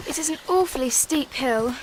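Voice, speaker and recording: A woman's voice: high voice, expressive, normal speed. An actress playing a polite, weary schoolgirl in a radio drama, mildly complaining over noisy sound effects.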